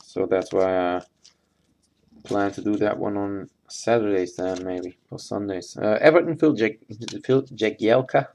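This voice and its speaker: A man giving casual, halting live commentary as he hosts a sports card box break, matter-of-fact in manner. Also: fairly low pitch, gravelly, darkish tone. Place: indoors at a tabletop.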